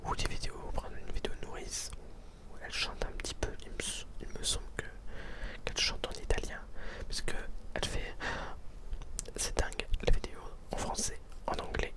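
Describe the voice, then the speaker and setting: A young adult student whispering a casual ASMR chat, hesitant and full of restarts. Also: a little fast. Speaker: ASMR video maker.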